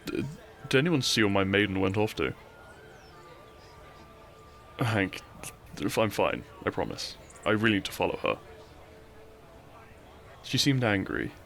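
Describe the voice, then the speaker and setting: A man playing a runaway royal turned outlaw, a weary, tender and gently pleading lover in a romantic audio roleplay, with soft, sighing delivery. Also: on the low side, animated, unhurried, long pauses. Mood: injured, resigned.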